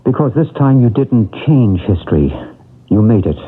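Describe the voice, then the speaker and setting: An actor playing a calm paranormal expert who gently reassures a woman in an old radio drama. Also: mid-range voice, normal speed, husky. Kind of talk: 1970s radio drama acting. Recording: tinny old radio broadcast.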